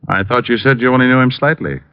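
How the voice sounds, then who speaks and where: A man's voice: mid-range voice, fast talker, smooth, natural voice. An actor playing a wry, probing male private detective in 1940s radio drama style. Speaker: lead actor and narrator. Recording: dull old radio recording with music.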